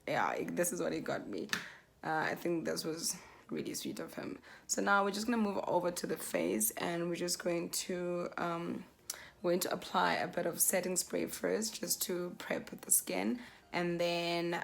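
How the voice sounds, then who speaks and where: A young woman with flu, her voice rough, chatting through a makeup tutorial step by step. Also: lowish voice. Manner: chatty, step-by-step, self-deprecating. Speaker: beauty vlogger. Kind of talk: casual makeup tutorial narration.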